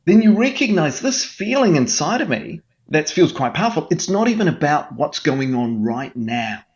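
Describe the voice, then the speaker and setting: A man's voice: fairly high pitch, sing-song, moderate pace, a little mellow, dull audio. A man speaking as a mental health guest in an interview, explaining with an earnest coaching manner and frequent restarts.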